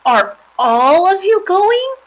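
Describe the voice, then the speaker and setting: A woman's voice: very high voice, sing-song, slow pace, voice pushed up, heavily acted. A woman voicing an earnest, affectionate young male pig in a storybook, speaking in a wholehearted, pleading way. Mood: anxious, pleading.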